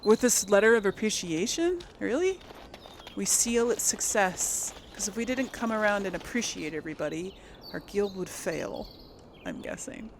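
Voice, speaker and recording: Creaky-voiced woman chatting through a video game. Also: medium pitch, expressive, moderate pace, a little hiss or hum. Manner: chatty, thinking aloud to the audience. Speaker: host of a video game let's play. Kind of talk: casual gameplay commentary.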